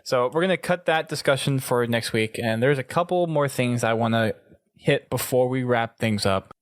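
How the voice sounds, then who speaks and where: A man's voice: medium pitch, normal speed, no room sound, clean studio sound. A man from the United States hosting a casual gaming podcast in a conversational way. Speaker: podcast host.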